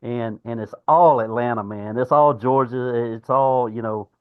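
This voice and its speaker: A man hosting a podcast who asks rambling, relaxed questions and laughs along with his guests. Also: mid-range voice, unhurried, smooth, no room sound, narrow, tinny audio. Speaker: podcast host. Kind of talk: casual interview questions.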